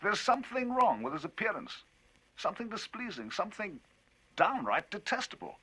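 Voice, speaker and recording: Brief: a man voicing a worked-up gentleman narrator, lively swoops, forceful, husky. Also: high-pitched, moderate pace, voice pushed up, heavily acted, poor audio. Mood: agitated. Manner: emphatic, rising, animated storytelling.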